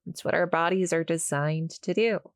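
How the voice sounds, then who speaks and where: A woman voicing a small, shy, friendly cuddle-monster girl in an audio roleplay, halting and apologetic as she speaks to the listener. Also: fairly low pitch, steady tone, no echo, clean studio sound, lightly acted. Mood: friendly, reassuring. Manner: nervous, halting, stammering, soft-spoken explaining.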